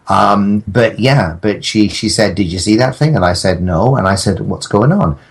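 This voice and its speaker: A man's voice: fairly low pitch, normal speed, vocal fry. A man chatting casually and comically as a podcast guest, rambling and joking with mock defiance. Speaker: podcast guest, audio drama maker.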